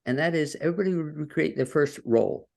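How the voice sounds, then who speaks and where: A man's voice: fairly high pitch, fast, creaky, a little mellow. A man hosting an interview podcast from his home studio over a video call, talking in a folksy, rambling way. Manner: folksy, rambling with fillers and restarts. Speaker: podcast host.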